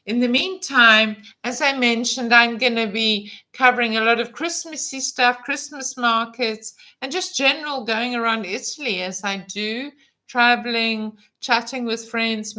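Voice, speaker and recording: A woman in her fifties talks through a casual live stream, rambling from one point to the next with plenty of ums and restarts. Her voice sits a little high and sounds bright and forceful. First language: English. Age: 50s. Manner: chatty and rambling, with many fillers and restarts. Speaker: vlog host.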